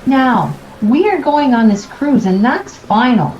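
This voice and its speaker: A woman's voice: sits high, sing-song, slow, darkish tone, roomy sound, muffled recording, a little hiss or hum, exaggerated voice. A woman playing a firm, sentimental middle-aged wife in a radio play. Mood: reproachful, insistent. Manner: reproachful, firm.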